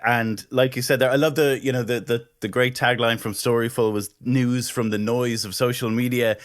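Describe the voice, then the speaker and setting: A man's voice: medium pitch, little pitch movement, moderate pace. A man hosting a podcast, asking questions in a conversational interview style.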